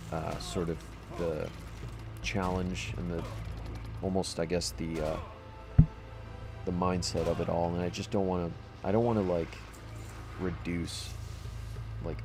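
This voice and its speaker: A man's voice: sits low, noisy background. A man streaming a game, chatting casually with his chat in a rambling way, full of restarts and fillers. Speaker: livestreamer playing a game. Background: background music.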